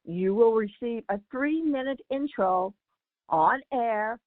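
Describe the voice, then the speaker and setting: A woman reading a scripted station promo in a slow, measured way. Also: fairly high pitch, lively intonation, no room sound. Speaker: station announcer. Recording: tinny dry phone-like audio.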